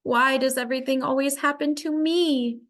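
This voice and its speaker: A woman's voice: high voice, fairly even intonation, normal speed, pitched above the performer's own voice, natural voice. A woman voicing a headstrong, theatrical little schoolgirl in a children's storybook. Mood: self-pitying. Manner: emphatic, theatrical.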